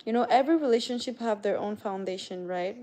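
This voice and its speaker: A woman's voice: medium pitch, fast, warm tone. A young woman with a non-native accent hosting a casual livestream, chatting with viewers and greeting them by name. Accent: non-native English accent. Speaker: livestream host. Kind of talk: casual live-stream chat with viewers.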